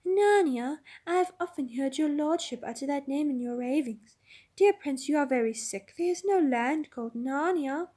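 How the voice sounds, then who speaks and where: A woman voicing an enchanting witch queen who speaks softly, slowly and coaxingly. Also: sits high, lively intonation. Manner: soft, slow, lulling.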